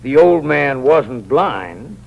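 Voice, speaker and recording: A drawling, knowing sheriff. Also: male voice, medium pitch, very animated, slow, gravelly, heavily acted. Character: shrewd male sheriff, calm and knowing. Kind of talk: 1950s radio drama acting. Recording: dull, hissy old radio broadcast.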